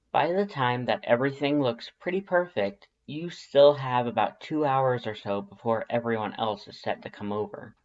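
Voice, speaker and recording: A narrator reading scripted second-person fan fiction as an audiobook. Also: mid-range voice. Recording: slightly dull, band-limited audio.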